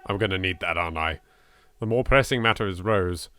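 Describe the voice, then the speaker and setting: A man's voice: low-pitched, a little fast, sharp tone. A British man doing a let's play, reading horror game text aloud with theatrical expression and dropping in his own sweary asides. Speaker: video game let's player.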